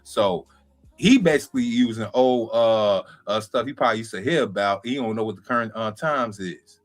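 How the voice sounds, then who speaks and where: A man's voice: on the low side, moderate pace, soft background music. A man co-hosting a casual talk stream, speaking in slang with trailing fillers. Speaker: co-host, novice comedian. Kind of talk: casual talk-show chat.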